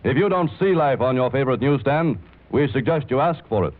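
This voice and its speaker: A man announcing the news with booming, emphatic 1930s radio newsreel narration. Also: animated, a little fast. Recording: tinny old 1930s radio broadcast with music.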